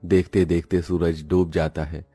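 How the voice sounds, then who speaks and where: Deep-voiced man narrating a story. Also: level tone, measured pace, mellow, slightly rough, faint music behind. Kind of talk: scripted dramatic narration.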